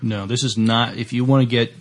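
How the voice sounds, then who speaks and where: A man co-hosting a podcast, giving casual opinions and news in a hesitant, halting delivery. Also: medium pitch, fairly even intonation. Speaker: podcast co-host. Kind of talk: casual discussion and reading of sci-fi news.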